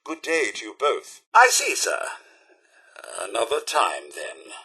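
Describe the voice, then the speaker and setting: An actor voicing a prim, loyal robot butler for a video game, with a British accent and formal, servile, theatrical diction. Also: male voice, mid-range voice, huge pitch swings, takes it slowly, bright, forceful tone, husky, full character voice. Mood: courteous, obliging.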